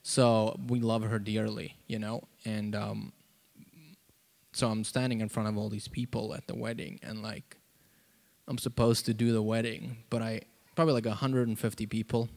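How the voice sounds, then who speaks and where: A man gives an informal sermon and testimony into a handheld mic, halting and conversational, with lots of "like" and "you know.". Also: on the low side, little pitch movement, gravelly, darkish tone. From South Africa. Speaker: preacher. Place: church auditorium, on stage before seated congregation. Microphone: handheld microphone.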